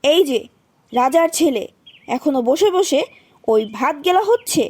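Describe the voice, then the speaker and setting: A woman voicing a bossy, sharp-tongued, scheming aunt and mother in a Bengali cartoon. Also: sits high, lively intonation, measured pace, no echo. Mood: scolding. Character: shrill, scolding, scheming aunt and doting mother. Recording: music behind the voice.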